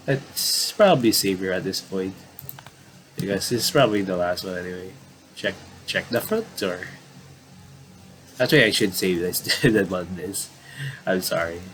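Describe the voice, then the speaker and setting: Low-voiced man commentating over noise. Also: fairly bright tone, rough recording. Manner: rambling, self-correcting, halting. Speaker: gaming video commentator. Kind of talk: casual playthrough commentary, reading game dialogue aloud.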